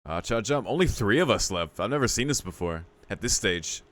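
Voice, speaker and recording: A man's voice: fairly low pitch, expressive, a little fast, fairly bright tone, no room sound. A man doing casual gameplay commentary as a video host, reacting with exclamations and mock exasperation.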